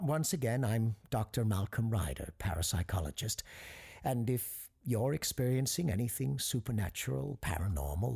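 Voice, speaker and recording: Gravelly, halting radio paranormal host. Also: male voice, mid-range voice, warm tone, full character voice. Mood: hurried sign-off. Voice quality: gravelly, husky. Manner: halting, stammering, apologetic. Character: flustered, forgetful male parapsychologist hosting a paranormal radio call-in. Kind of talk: audio drama radio show acting.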